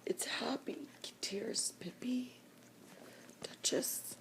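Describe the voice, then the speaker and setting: An older woman whispers through happy tears, unhurried and gravelly. Her voice sits a little high for a woman and often drops to a breathy hush. She speaks to the camera in a personal vlog. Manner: whispering, slow and emotional. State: crying. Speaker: vlogger.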